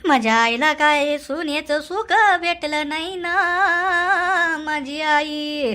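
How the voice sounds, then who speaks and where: Lady doing a crying village woman, shrill sing-song cartoon wailing, super dramatic. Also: takes it slowly, pushed and bright, slight background noise, exaggerated voice. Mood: sobbing lament. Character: wailing village woman mourner lamenting melodramatically.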